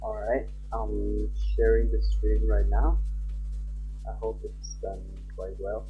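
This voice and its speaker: A man's voice: lowish voice, flat delivery, slow. A man who is a native Nepali speaker, chatting informally on a livestream and half muttering to himself as he goes. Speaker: live streamer. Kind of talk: casual livestream chat. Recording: dull lo-fi stream audio, music behind. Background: background music.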